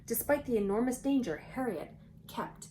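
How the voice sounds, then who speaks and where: A woman reading a children's storybook aloud expressively, pausing for conversational asides and questions to young listeners. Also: on the high side, lively intonation, dark tone, slight background noise, poor audio.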